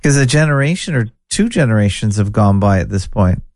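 A man's voice: on the low side, lively intonation, a little fast, darkish tone, dry recording. Man, interviewer, curious follow-up questions, casual, dry close recording. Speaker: interviewer, host.

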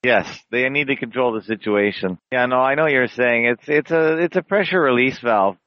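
A man co-hosting an online call show, chatting in a relaxed way. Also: medium pitch, fairly quick, no room sound. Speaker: co-host. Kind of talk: casual online chat. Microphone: video call. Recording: dry lo-fi call audio.

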